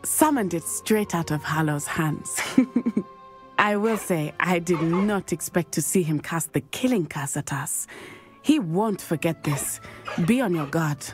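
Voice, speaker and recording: A young woman voicing a brave, determined schoolgirl witch in a video game, speaking in a formal, measured way and often dropping to a hush. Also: on the low side, expressive, faint music behind. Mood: confident. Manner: measured, formal, confident speech, often hushed. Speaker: game character.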